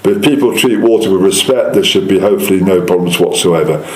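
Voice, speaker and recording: Fast, deep-voiced male councillor, echoey room. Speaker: local councillor. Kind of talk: political interview answers.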